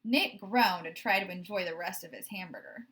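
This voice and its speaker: A woman reading a children's book aloud like a teacher, in expressive, measured storytelling with stressed phrases. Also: lowish voice.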